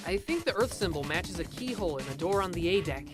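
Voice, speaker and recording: A casual college guy voiced by a woman with a lowish pitch for a female voice, skeptical and incredulous in a visual novel style. Music plays clearly behind him. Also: animated, fast talker, lightly acted. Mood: matter-of-fact. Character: casual, skeptical college-age young man. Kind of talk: visual novel fan dub acting.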